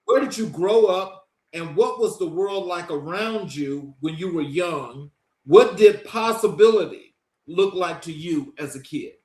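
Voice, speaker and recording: A man's voice: sits high, measured pace, roomy sound. A man hosting an interview show, opening with dramatic, emphatic lines and asking heartfelt questions. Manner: dramatic, emphatic intro delivery. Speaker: show host.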